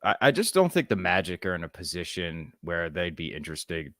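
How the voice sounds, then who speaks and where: A man's voice: sits low, sing-song, brisk, fairly bright tone, no room sound, clean studio sound. A young man co-hosting a live fan reaction stream, chatting casually and laughing often. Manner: laid-back, laughs often, says "man" and "dude". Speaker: fan channel co-host.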